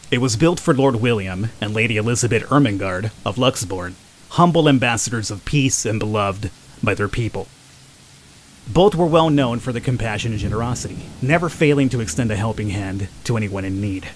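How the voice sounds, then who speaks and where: A man reading visual novel narration aloud as a solemn horror storyteller, in a measured, dramatic way. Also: mid-range voice, close to an everyday voice, low-quality recording.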